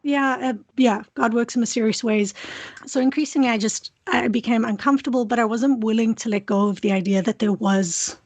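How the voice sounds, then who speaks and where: Woman, south african accent, higher voice, thoughtful and candid, dry close sound. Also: fairly quick, darkish tone, no room sound. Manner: thoughtful, candid, frequent restarts. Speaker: guest, PhD student. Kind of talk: conversational interview.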